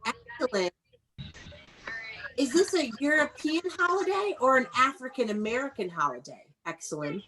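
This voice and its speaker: A woman's voice: sits high, lively intonation, normal speed, sharp tone, faint music behind, poor audio. A woman teaching young children in an interactive online read-aloud, enunciating key words and prompting them to repeat, recorded over a video call. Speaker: elementary school teacher.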